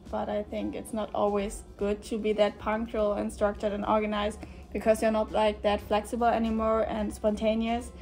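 An eighteen-year-old woman from northern Germany with a German accent, speaking English as a second language in a relaxed chat full of fillers. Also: mid-range voice, normal speed, creaky, faint music behind. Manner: relaxed, many fillers like "like" and "yeah". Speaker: guest volunteer. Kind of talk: casual chat on camera. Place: indoor room with shelves.

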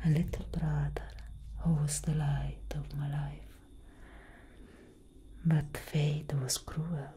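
A young woman playing a brooding, protective elder vampire sister in a soft, breathy, whispered ASMR roleplay. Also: low-pitched, slight background noise. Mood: mournful. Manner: breathy, slow, intimate, dramatic.

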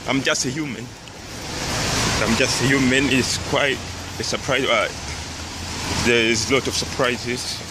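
A man in his thirties whose first language is Swahili, vlogging slowly with many pauses while walking a rainy city street, phone held close. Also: medium pitch, fairly even intonation. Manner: slow, searching, with many pauses and fillers. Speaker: singer vlogging a studio trip. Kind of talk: casual vlog narration. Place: rainy city street outdoors, under an umbrella. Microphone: phone held close below the face. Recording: loud street and traffic noise.

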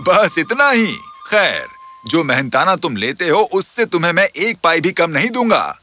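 A man voicing a hearty, emotional, generous rich businessman in a children's cartoon fairy tale, with theatrical drawn-out exclamations. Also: on the high side, big pitch swings, sharp tone, faint music behind. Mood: pleased, generous.

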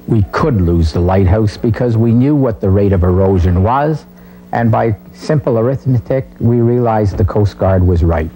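A man's voice: lowish voice, warm tone, rough recording. An older man recalling events in an interview, recorded indoors on a lapel mic. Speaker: interviewee, committee vice chairman. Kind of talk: interview recollection. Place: indoor room.

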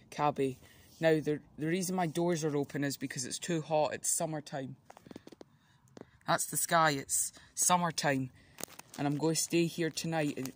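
A cheerful, boastful toy plumber rambles on about his new farm, as a young man voices him. The voice sits a little high, with a faint hiss behind it. It is recorded outdoors in a back garden on a handheld phone. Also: lightly acted. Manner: rambling, chatty, show-and-tell. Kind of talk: toy play video narration. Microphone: phone held by the filmer.

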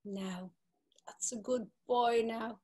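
An actress playing a domineering brothel madam, commanding and mocking, in a dramatic reading over a video call. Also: female voice, a little high, steady tone, takes it slowly, dark tone. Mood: taunting. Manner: commanding, taunting. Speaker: stage actor.

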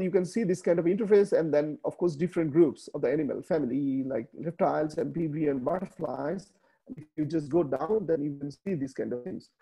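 A man in his thirties from Bangladesh, a native Bengali speaker with a Bangladeshi accent, giving a slide presentation on a video call, halting with many fillers and restarts. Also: a little high, creaky, warm tone, breathy edge. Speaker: webinar speaker. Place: small room indoors.

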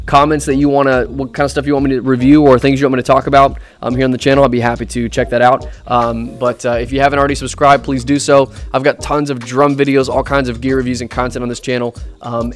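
A young man in a dark studio room, giving a casual review to camera, talking off-axis into a boom-arm condenser mic, with lots of ums and restarts. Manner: chatty, many ums and restarts. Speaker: drummer and gear reviewer. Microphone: USB condenser on a boom arm, speaking off-axis.

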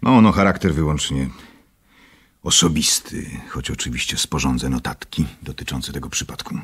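An actor playing a scholarly, secretive doctor obsessed with power in a radio drama, speaking in a measured, clinical way. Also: male voice, very deep voice, animated, a bit bright, slightly rough, full character voice. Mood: guarded, dismissive. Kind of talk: Polish radio drama acting.

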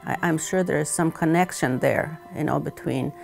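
Older lady, low gravelly voice, lots of uhs, chatting at home about her weaving. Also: moderate pace, darkish tone, soft background music. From Croatia. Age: 70s. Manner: hesitant, many "uh" fillers, thoughtful. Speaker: weaver and retired teacher. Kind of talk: seated documentary interview. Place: home living room, indoors.

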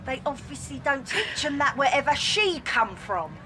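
A woman's voice: high-pitched, sing-song, normal speed. An actress playing a hostile, confrontational mother of a bully, on a street. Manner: aggressive, sneering. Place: outdoors on a street. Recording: noisy outdoor street recording.

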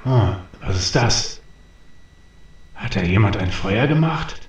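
A man's voice: lowish voice, animated, takes it slowly, dull audio. An actor playing a grumpy, bossy night watchman in a German audio drama, grumbling and scolding. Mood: weary grumbling. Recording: roomy, distant, with ambient noise.

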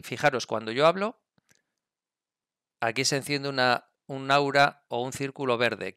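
A man's voice: mid-range voice, bright, forceful tone, clean tone, dry recording, clean studio sound. A middle-aged man with a Spanish accent from Spain explains things casually to camera on a headset mic, often checking in with listeners. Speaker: video creator, gamer and motorcyclist. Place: indoors at a desk with a computer. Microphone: gaming headset mic, close.

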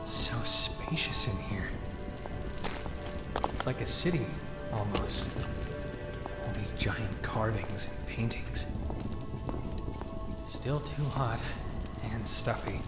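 A man's voice: lowish voice, extremely slow, long pauses. A man playing a young, anxious but determined adventuring hero in a fantasy audio drama, muttering to himself and exclaiming. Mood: awed, uneasy. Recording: roomy echo, loud musical score behind. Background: orchestral fantasy game-style music.

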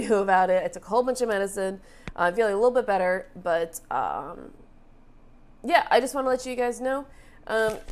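A woman's voice: medium pitch, a little hiss or hum. Nasal, stuffy young woman chatting casually. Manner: chatty, lots of fillers, excitable. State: stuffy, congested from allergies. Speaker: reaction channel host. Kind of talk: casual reaction commentary. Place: small bright room indoors.

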